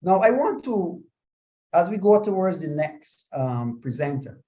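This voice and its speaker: A man with a slightly high voice, hosting a live online panel over a video call. He is courteous and a little apologetic, reading out viewer comments and greeting guests. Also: very animated, measured pace, warm tone. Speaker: panel moderator.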